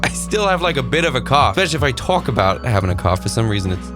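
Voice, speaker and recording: A man rants casually from his desk, blunt and sarcastic, his voice sitting at an ordinary middle pitch. Clear music plays steadily underneath him. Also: sing-song, racing. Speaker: YouTube reaction host. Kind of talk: casual ranting commentary.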